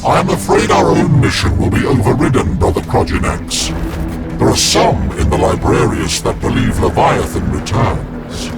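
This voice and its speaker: Game casting: solemn armoured battle-mystic, mid-pitched, devout and portentous, loud score behind. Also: male voice, sing-song, normal speed, creaky. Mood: ominous. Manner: portentous, archaic, formal. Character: grave mystical warrior-seer in a dreadnought, male, devout. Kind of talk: dramatic video game acting. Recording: loud cinematic music behind the voice.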